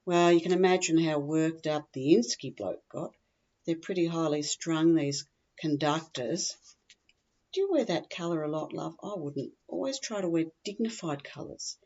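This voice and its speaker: Low-pitched Australian female gossip, performed by a woman, blithely tactless and rambling, for a comic storybook monologue, slightly dull lo-fi recording. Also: expressive, normal speed, dark tone. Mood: gossipy, tactless. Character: chatty, tactless, scatterbrained woman gossiping to a friend. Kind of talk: humorous monologue, performed reading.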